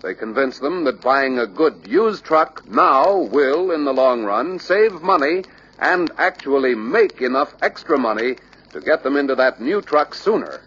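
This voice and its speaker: A man reading scripted instructional narration for a sales training film, deliberate and emphatic. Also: medium pitch, lively intonation, fairly bright tone, breathy edge. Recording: dull lo-fi old training film soundtrack.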